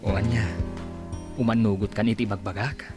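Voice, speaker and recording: A man's voice: lowish voice, flat delivery, normal speed, music in the background, close to an everyday voice. A young man with a Filipino accent playing a persistent village suitor in an Ilocano radio comedy drama. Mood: insistent, pleading. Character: persistent village suitor who likes his drink.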